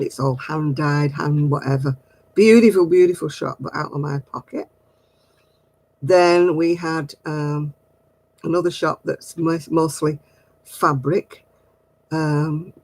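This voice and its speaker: A man's voice: fairly high pitch, rough recording. A middle-aged man, hosting a casual craft livestream and chatting with viewers in a giggly, rambling way. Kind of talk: casual chat answering viewer comments.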